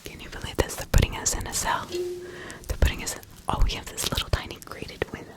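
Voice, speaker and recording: Woman whispering as a frightened accused farmwife. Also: lightly acted. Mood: panicky, protesting. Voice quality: breathy unvoiced whisper. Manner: halting, repetitive, anxiously questioning. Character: frightened accused witch, a poor 1600s farmer. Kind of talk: ASMR whispered roleplay.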